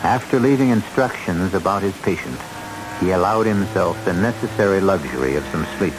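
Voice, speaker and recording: Ominous storyteller, very low voice, theatrical, deliberate, old-time radio narration, loud music behind. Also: male voice, expressive, low-quality recording. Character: ominous, measured storyteller of suspense tales. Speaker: host and narrator.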